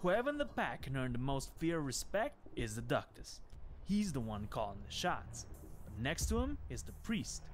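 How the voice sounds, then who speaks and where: A man's voice: medium pitch, very animated, normal speed, a little hiss or hum, close to an everyday voice. An actor playing a cocky, streetwise male vampire gangster in a video game, blunt, slangy and sardonic. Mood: explaining, blunt. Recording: music behind the voice.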